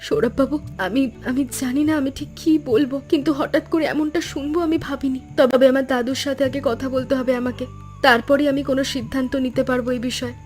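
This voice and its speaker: A woman voicing an earnest, expressive young granddaughter who cooks at a food stall in an animated story. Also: high-pitched, fast talker, faint music behind, lightly acted. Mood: surprised, hesitant. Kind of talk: Bengali animated story dialogue.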